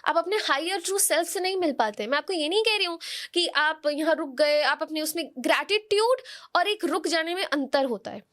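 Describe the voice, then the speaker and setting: Woman, notably high voice. Conversational oracle-reader, instructive, speaks directly to the listener. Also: animated, a little fast, fairly bright tone. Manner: instructive, addresses listeners directly, uses rhetorical questions and tag questions. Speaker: oracle card reader, podcast guest giving horoscope guidance. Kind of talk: conversational spiritual guidance.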